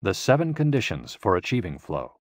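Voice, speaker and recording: Male audiobook narrator, low register, measured explanatory read, dry close sound. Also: very animated, no room sound.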